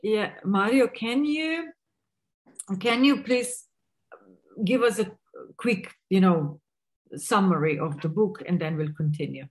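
A woman in her forties hosting an online talk from home over a video call, speaking in a deliberate way. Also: mid-range voice, poor audio. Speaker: host moderator. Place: home room with bookshelves. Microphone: video call webcam.

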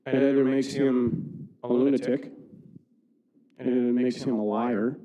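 A man's voice: medium pitch, flat delivery, measured pace, dark tone. A middle-aged man preaching a sermon as a pastor, plain-spoken and informal, reading scripture and repeating points for emphasis. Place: church sanctuary, standing at a wooden pulpit. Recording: reverberant church hall.

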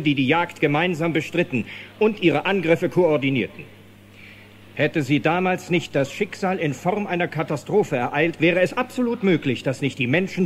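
Fairly high-pitched male lecturer played by an actor. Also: a little hiss or hum, natural voice. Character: serious, dry male paleontologist lecturing an audience. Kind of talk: formal lecture in a film.

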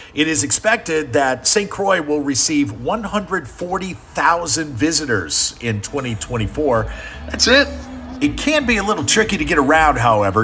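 A man's voice: medium pitch, sharp tone, slight background noise. A man hosting a travel show, narrating a scripted voice-over in an upbeat, enthusiastic way.

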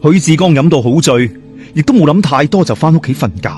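A man's voice: on the high side, quick pace, darkish tone, faint music behind. A man telling a ghost story in a dramatic, colloquial and suspenseful way.

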